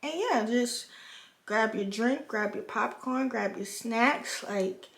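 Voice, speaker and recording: A woman's voice: a little high, takes it slowly, gravelly, fairly bright tone, reverberant. A woman in her twenties from the Virgin Islands, chatting frankly like a big sister while doing her makeup at a home vanity. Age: 20s. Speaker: vlogger.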